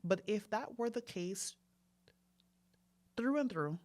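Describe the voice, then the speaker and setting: A woman's voice: mid-range voice, expressive, takes it slowly, darkish tone. A woman from Brooklyn, co-hosting a casual discussion show, speaking with conviction and affirming her partner often. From Brooklyn, New York. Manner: affirming, speaks with conviction, lots of agreeing murmurs. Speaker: podcast co-host.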